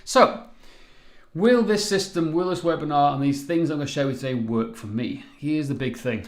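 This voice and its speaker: A man's voice: on the high side, moderate pace, roomy sound. Upbeat Welsh man presenting a webinar. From Wales. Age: 40s. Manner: upbeat, chatty, poses rhetorical questions. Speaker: fitness coach and company founder.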